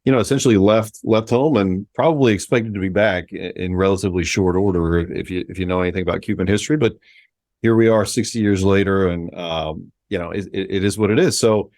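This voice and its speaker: Low-voiced reflective man, Spanish native. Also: a little fast, a little mellow, no room sound, clean studio sound. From Miami, United States. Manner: reflective and earnest, with frequent self-restarts. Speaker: guest, sports executive. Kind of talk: conversational interview.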